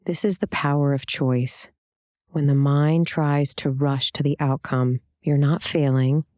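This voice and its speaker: A meditation instructor guiding a scripted practice in a calm, soothing, gentle way, leaving long pauses. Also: mid-range voice, dry recording, clean studio sound.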